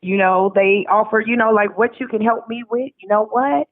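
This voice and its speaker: A woman's voice: fairly high pitch, moderate pace, no room sound. A middle-aged woman with an American accent telling her story casually and candidly, with emphatic, colloquial phrasing, over a phone call. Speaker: interview guest, author. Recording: narrow, tinny phone line.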